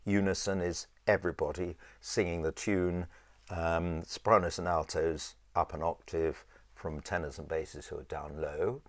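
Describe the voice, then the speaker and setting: A man with a low voice teaching a choir in an informal, rambling and halting conversational way. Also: flat delivery, no room sound. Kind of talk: informal instructional talk with sung demonstrations.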